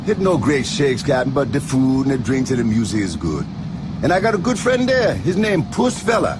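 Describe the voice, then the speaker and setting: Male actor as loyal island right-hand man, Caribbean accent, animated intonation, deferential patois, easygoing, radio drama, music underneath. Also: mid-range voice, moderate pace, natural voice. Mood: friendly, easygoing. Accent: Jamaican or Caribbean. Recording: music behind the voice.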